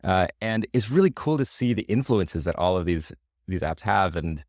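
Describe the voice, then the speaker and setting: A man chatting casually about tech as a podcast co-host, thoughtful and halting in his phrasing. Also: sits low, animated, darkish tone, dry recording, studio quality.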